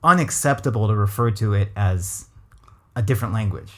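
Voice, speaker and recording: A man's voice: fairly low pitch, fairly quick. A man from Los Angeles with a West Coast American accent, hosting a podcast in a thoughtful, casual chat with many restarts. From Los Angeles, USA. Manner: thoughtful, full of restarts and hedges. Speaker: podcast host. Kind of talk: casual conversational interview.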